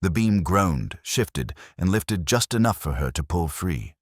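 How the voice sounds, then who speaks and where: A man's voice: low voice, lively intonation, measured pace, no room sound, studio quality. A man reading scripted, dramatic mystery narration as an audiobook narrator.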